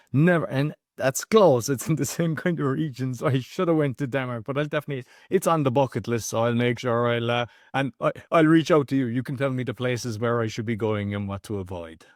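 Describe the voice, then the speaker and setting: Halting male podcast host, dry sound. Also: mid-range voice, dry recording. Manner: halting, restarts and repeats words. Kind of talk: casual interview talk.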